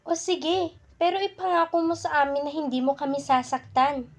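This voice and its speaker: A woman voicing childlike, excitable forest animals in a puppet show. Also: high voice, moderate pace. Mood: cautious.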